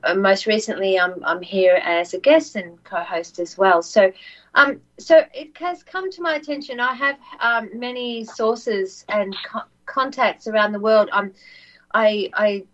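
A woman co-hosting a podcast, English as her second language, telling a story in a soft voice with many ums and repeated words. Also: mid-range voice, a little slow. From not said; lives in Australia. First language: not English. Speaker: co-host. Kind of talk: casual podcast chat, storytelling. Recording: dull lo-fi audio.

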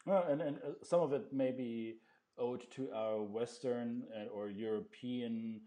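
A man hosting an interview podcast, speaking in a measured, thoughtful way and reflecting back what his guest says. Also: medium pitch, steady tone, warm tone. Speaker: podcast host.

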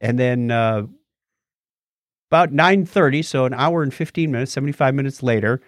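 A man's voice: medium pitch, moderate pace, no echo, studio quality. An American man co-hosting a podcast, chatting casually and explaining things in an anecdotal, conversational way. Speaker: podcast host.

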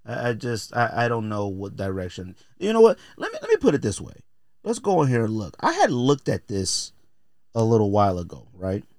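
Man, podcast host, casual rant, opinionated, emphatic repetition, lively intonation, dry recording. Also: on the low side, a little fast, no echo. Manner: emphatic, repeats phrases for effect; exasperated, opinionated.

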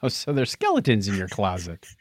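A man's voice: fairly low pitch, wildly swooping pitch, brisk, no echo, clean studio sound. A middle-aged man co-hosting a podcast in casual banter, with a teasing, wisecracking manner. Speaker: podcast co-host.

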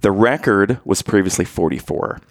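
A man's voice: deep voice, lively intonation, moderate pace, dry recording, clean studio sound. A man hosting a podcast interview, eagerly bragging about his guest and quizzing his co-host. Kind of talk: casual interview chat.

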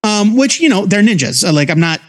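A man's voice: high voice, big pitch swings, fairly quick. A man co-hosting a podcast, explaining things in detail in a casual, geeky, conversational way. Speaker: co-host of a comics review podcast. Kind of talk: casual review chat.

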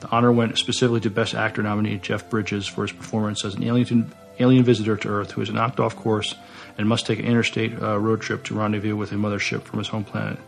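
Man, gravelly fry, lowish pitch, podcast co-host, halting casual delivery, repeated words. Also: flat delivery, faint music behind. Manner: halting, repeats words while searching for phrasing. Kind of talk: casual chat, reading notes aloud.

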